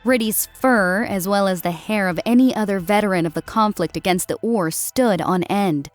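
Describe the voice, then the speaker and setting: A woman's voice: medium pitch, moderate pace, faint music behind. A woman narrator reading a scripted, dramatic story as an audiobook.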